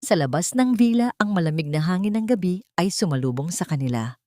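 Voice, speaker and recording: A woman with a low, steady voice narrates a melodrama audiobook. Her delivery is dramatic and emotive, drawing out each scene. The recording is dry and close, with no room sound. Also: a little fast, dry recording.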